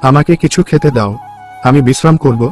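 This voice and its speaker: A man's voice: mid-range voice, moderate pace, a little mellow, natural voice. Man playing earnest poor village farmer over music. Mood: hopeful. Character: poor, hardworking, grateful married village farmer. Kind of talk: cartoon folk tale dialogue. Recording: music behind the voice.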